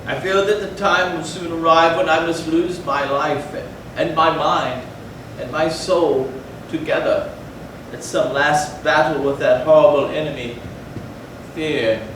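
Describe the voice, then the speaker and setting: A man playing a gloomy, fearful, doomed gothic aristocrat in a brooding, halting, melodramatic staged reading. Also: fairly high pitch, steady tone, close to an everyday voice. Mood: dread. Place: live theatre stage, recorded from afar. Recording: very echoey, distant, noisy hall.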